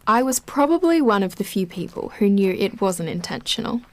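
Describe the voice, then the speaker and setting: A young woman voiced by an actress with a medium-pitched voice in an audio drama. She comes across as guarded and matter-of-fact, keeping her delivery casual and conversational. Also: very animated, a little fast, no room sound. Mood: reminiscing.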